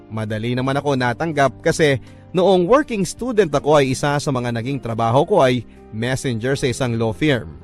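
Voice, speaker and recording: A Filipino man narrates a sentimental story in a classic radio storytelling style. His voice sits at a medium pitch, with music playing underneath. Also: animated, moderate pace. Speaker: narrator reading a letter sender's love story.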